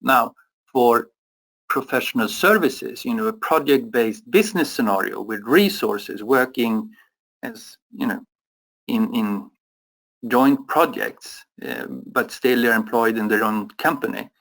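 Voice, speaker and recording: European man, mid-pitched, halting webinar answers with lots of fillers. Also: vocal fry, a bit bright, poor audio. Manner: halting, many fillers and restarts. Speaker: product expert.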